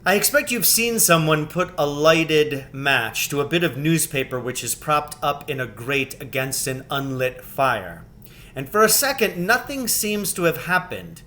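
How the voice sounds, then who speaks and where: A man reading a children's novel aloud as scripted narration, with measured, expressive phrasing. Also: fairly high pitch. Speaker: storybook reader.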